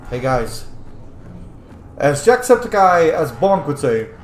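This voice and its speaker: A man's voice: fairly high pitch, big pitch swings, takes it slowly, roomy sound. A man giving casual, chatty, rambling commentary as a video game streamer. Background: game music.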